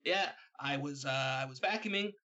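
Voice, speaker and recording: A man's voice: mid-range voice, normal speed, bright, forceful tone, muffled recording, natural voice. A man playing a nervous, apologetic roommate in a comedy podcast, hesitant and stammering. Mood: apologetic, anxious. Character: nervous, apologetic male roommate bearing bad news.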